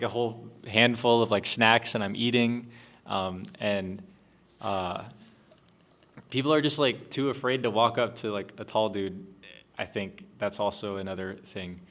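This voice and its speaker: A young man speaks in a somewhat low voice on a student panel. His talk is relaxed and full of fillers and restarts. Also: a little slow. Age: young adult. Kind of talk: casual discussion.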